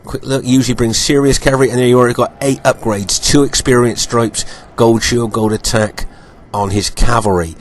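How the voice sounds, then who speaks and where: Croaky man commenting on gameplay. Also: mid-range voice, fairly even intonation, a little hiss or hum. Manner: unhurried, many ums and uhs, repeats points. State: croaky voice while recovering from illness. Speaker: game commentator. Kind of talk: gameplay commentary over footage.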